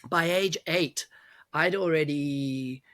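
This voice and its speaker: A man's voice: a little high, slow pace, sharp tone, no room sound, clean studio sound. A man in his forties from Italy, talking casually as a guest DJ in an interview, with frequent restarts, fillers and laughter. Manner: hesitant, many restarts and fillers, laughs often. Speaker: guest DJ and producer.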